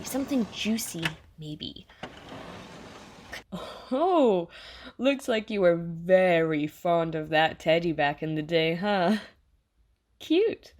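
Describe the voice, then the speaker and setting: A woman playing a chatty, sarcastic, easily flustered AI program in an audio drama, talking casually and stammering when rattled. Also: mid-range voice. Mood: nosy, teasing. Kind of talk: audio drama monologue in casual second-person address.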